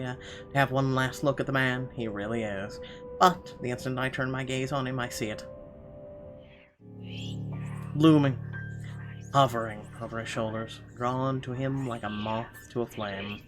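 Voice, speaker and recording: A man reading first-person narration from a game aloud in a dramatic storytelling style. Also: medium pitch. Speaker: gaming channel host reading a visual novel aloud.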